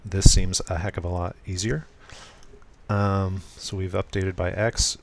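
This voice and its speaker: A man casually talking through his code during a live programming stream, speaking softly and smoothly with frequent ums and self-corrections. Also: deep voice, little pitch movement, a little slow, slight background noise. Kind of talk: casual live-coding walkthrough.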